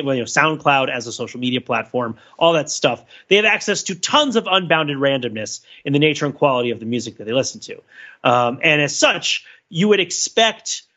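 Male podcast host, higher-than-average bright voice, thinking aloud in rambling analytical fashion with frequent "right?" check-ins. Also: muffled recording. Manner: discursive, halting, checks in with "right?". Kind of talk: casual analytical discussion.

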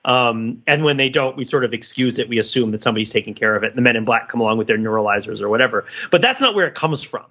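A man's voice: mid-range voice, expressive, quick pace, few pauses, bright, forceful tone, muffled recording. A man co-hosting a podcast, casually analysing film in a discursive way, peppering his points with filler phrases like "you know". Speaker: podcast co-host.

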